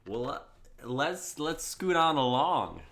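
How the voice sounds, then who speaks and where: A man's voice: a little high, sing-song, takes it slowly. A man chatting casually and reading script narration on an online stream. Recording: clearly noisy mic with keyboard clicks.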